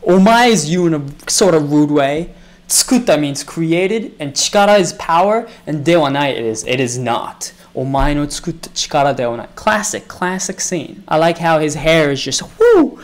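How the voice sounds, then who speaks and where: A woman's voice: sits low, lively intonation, normal speed. A woman whose first language is Japanese, casually reacting to anime clips and explaining Japanese words in a playful way.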